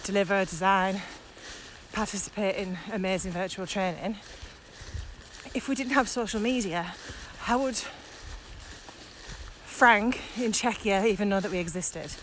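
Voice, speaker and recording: A woman's voice: mid-range voice, unhurried. A woman co-hosting a casual podcast chat, speaking with enthusiasm and emphasis. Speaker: podcast co-host, virtual trainer. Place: outdoors, walking in the countryside. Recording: outdoor walking recording with ambient noise.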